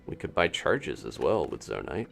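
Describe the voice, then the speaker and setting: A man's voice: rumbling low, very animated, moderate pace, creaky, a bit bright. A man chatting casually through a live-streamed game, wondering aloud and laughing often. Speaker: streamer playing a video game.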